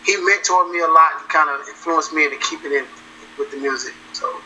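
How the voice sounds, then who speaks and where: A man, a musician talking in a casual interview, relaxed and repeating himself as he goes. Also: fairly high pitch, brisk, a little hiss or hum, low-quality recording. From South Carolina. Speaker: musician guest.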